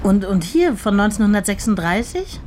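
Friendly office clerk played by a woman, lively intonation. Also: mid-range voice, moderate pace, a little hiss or hum, close to an everyday voice. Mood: helpful, businesslike. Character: friendly, businesslike female clerk at a tracing office. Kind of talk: naturalistic radio drama dialogue.

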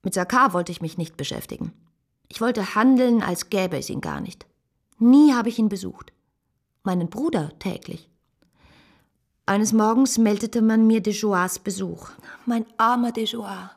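A woman in a radio drama playing a loyal, resolute sister, earnest and composed, with a husky voice. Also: mid-range voice, expressive, measured pace, heavily acted. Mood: earnest resolve. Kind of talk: radio drama acting and narration.

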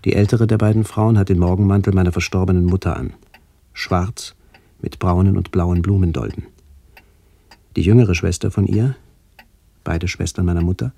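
Deep, mellow, solemn narrator. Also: male voice, gravelly, dry recording. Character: somber male first-person narrator remembering his aged aunts. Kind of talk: literary radio play narration.

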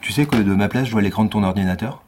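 An actor playing a wry, world-weary police lieutenant in a radio drama, deadpan and ironic. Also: male voice, fairly low pitch, rapid-fire, background noise, natural voice. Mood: exasperated, dry. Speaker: narrator and lead character. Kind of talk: scripted radio fiction acting.

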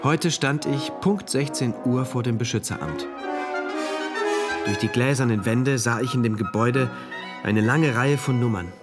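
A man's voice: mid-range voice, unhurried, over music, natural voice. Actor as precise, introspective engineer narrating his diary. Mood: uneasy. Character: earnest male engineer writing a diary in a regimented future state. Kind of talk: first-person radio play narration.

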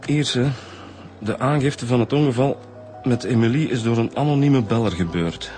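An actor playing an easygoing, slightly evasive homicide detective, explaining hesitantly and colloquially with a Flemish accent in a radio drama. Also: male voice, medium pitch, normal speed, a little mellow, close to an everyday voice. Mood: matter-of-fact, irritated. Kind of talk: naturalistic radio drama dialogue. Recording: radio drama with music bed.

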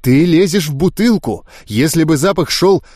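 A man's voice: a little high, lively intonation, normal speed, no echo, studio quality. A man voicing a strict young woman who scolds a girl sharply. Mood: reproachful. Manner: reproachful, sharp. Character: strict young woman mistress, losing patience.

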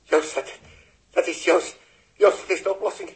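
A man's voice: high voice, steady tone, moderate pace, natural voice. A man playing a distraught, pleading father at ground control in a 1960s Dutch radio drama, stammering and overwrought, with a Netherlands accent. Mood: anxious, stunned.

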